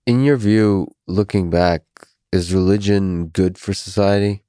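A young man hosting a podcast, asking calm, slow, measured questions into a boom mic in a small office. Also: dry recording, clean studio sound. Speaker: podcast host. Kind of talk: interview questions. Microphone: studio mic on boom arm.

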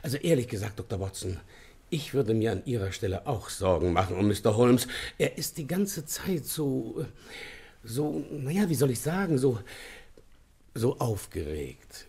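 An actor playing an earnest, deferential police inspector in a German radio play, speaking respectfully and a bit hesitantly. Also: male voice, medium pitch, sing-song, normal speed, vocal fry, darkish tone, breathy edge, full character voice. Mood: worried.

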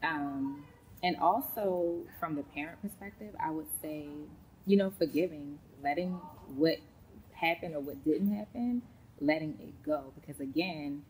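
A woman, a school counselor chatting as an interview guest, warm, with many ums. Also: sits low, measured pace, slight background noise, poor audio. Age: late 30s. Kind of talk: casual interview talk. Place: large indoor sports facility studio.

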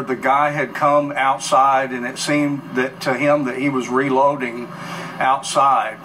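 A man in his sixties with an American accent, recounting events as an interview guest in a sober, halting, matter-of-fact way. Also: mid-range voice, sharp tone. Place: news bureau studio, replayed on a TV in a room. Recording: distant TV filmed off screen, clear noise.

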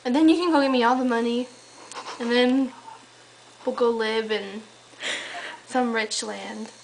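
High-voiced young woman teasing on a call. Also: steady tone, measured pace, creaky. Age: young adult. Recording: noisy amateur home camera recording.

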